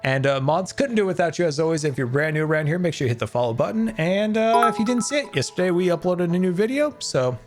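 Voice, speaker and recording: Chatty excitable man streaming a game. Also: high voice, fast, few pauses, faint music behind. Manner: chatty, excitable, thanks viewers. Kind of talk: casual live-stream chat.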